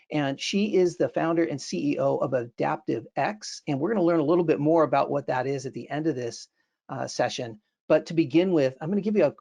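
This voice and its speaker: A man's voice: a little high, creaky, darkish tone. A middle-aged man hosting an education webinar over a video call from a home office, upbeat and earnest with some fillers. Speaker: webinar host. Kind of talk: webinar interview. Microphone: earbuds on video call.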